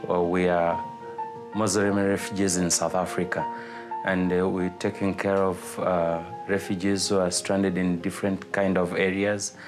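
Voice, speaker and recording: Male organisation spokesman for interview, deep voice, flat intonation, hesitant with repeated words. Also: measured pace, music in the background. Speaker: refugee organisation representative. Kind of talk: interview explanation.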